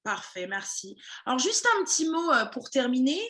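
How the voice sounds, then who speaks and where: A woman's voice: sits high, very animated, normal speed, a bit bright. A woman running a language school, chatting casually in an interview with many fillers and restarts.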